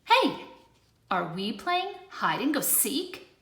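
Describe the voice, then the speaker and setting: A woman's voice: a little high, very animated, slow, a bit bright, full character voice. A woman voicing a young boy who gets bored and frustrated easily, his lines raised and whiny. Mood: eager. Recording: roomy echo.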